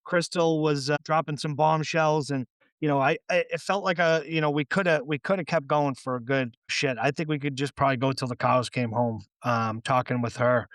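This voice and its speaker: A man's voice: on the high side, fairly even intonation, moderate pace, a bit bright, no room sound. A man hosting a podcast interview, loose and chatty with plenty of fillers. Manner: loose, chatty, lots of fillers and "you know". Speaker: podcast host.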